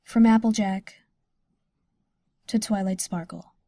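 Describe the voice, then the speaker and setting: Female announcer, unhurried scripted narration, dark soft tone, very dry recording. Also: mid-range voice, steady tone, no echo. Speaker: announcer of letters.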